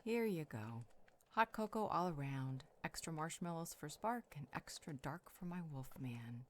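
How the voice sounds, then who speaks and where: A woman's voice: sits low, expressive, a little slow. A woman playing an affectionate, teasing, soothing vampire healer in an intimate audio roleplay. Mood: warm, cheerful. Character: warm, playful, caring female vampire healer.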